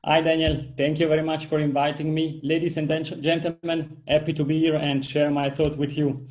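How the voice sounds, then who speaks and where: A man's voice: a little high, level tone, normal speed, darkish tone. A man in his thirties from southern Switzerland, with an Italian accent, talking earnestly as an interview guest from his home office over a video call. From Ticino, southern Switzerland. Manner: earnest, with frequent restarts and fillers. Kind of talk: casual interview talk.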